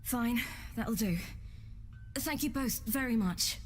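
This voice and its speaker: A measured, unhurried young woman traveller. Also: a little high, expressive, faint music behind. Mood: guarded, polite. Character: composed young woman traveller, guarded but kindly. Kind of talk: video game cutscene acting.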